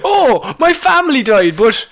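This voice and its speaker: A man with an Irish accent and a notably high voice co-hosts a comedy podcast. His delivery is casual and jokey, and he laughs readily as he chats through odd news. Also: very animated, measured pace, a bit bright. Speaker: podcast co-host.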